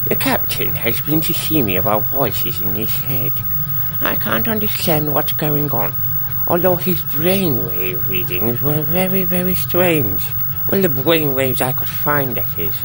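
An actor playing an earnest, officious starship doctor in a comedy radio play, speaking in a measured, formal way. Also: male voice, medium pitch, big pitch swings, low-quality recording. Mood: puzzled, formal. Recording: music behind the voice.

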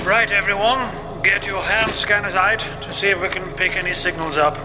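A man playing a sober, cautious, literal-minded science officer in a comedy radio play, speaking in a measured, matter-of-fact way. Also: sits high, fairly even intonation, background noise, close to an everyday voice. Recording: music behind the voice.

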